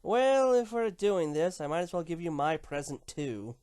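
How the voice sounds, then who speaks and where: A woman playing a proud, gruff villainous empress pony with a smug, reserved manner in a dramatic reading. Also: lowish voice, animated, moderate pace, warm tone, dry recording, clean studio sound, lightly acted. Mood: awkward, gruff. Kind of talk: fan fiction dramatic reading.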